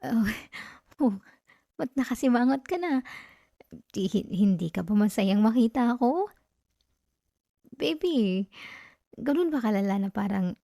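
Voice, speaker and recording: Woman acting as clingy possessive young girlfriend, Filipino accent, ASMR roleplay, mellow soft tone, teasing and pleading, dry close-mic sound. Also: medium pitch, vocal fry, no echo. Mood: teasing, evasive, then hurt, pleading. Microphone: close microphone, dry sound.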